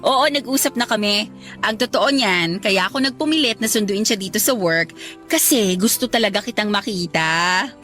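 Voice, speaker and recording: A woman's voice: medium pitch, normal speed, pushed and bright, soft background music, close to an everyday voice. A Filipino woman playing a chatty, clingy young bride-to-be in a Tagalog radio romance drama, gushing and teasing. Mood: pouting, teasing.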